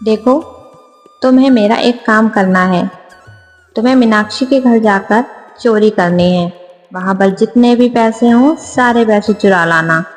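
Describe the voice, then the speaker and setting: A woman's voice: mid-range voice, a little slow, close to an everyday voice. A woman playing a jealous, scheming, bossy village woman in a Hindi moral-story cartoon. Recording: long reverb, music behind.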